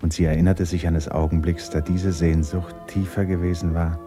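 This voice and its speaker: Actor as calm storyteller for a radio play, extremely low-pitched, literary narration, faint music. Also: male voice, moderate pace, close to an everyday voice. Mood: calm recounting. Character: calm, unhurried male storyteller of a woman's memories.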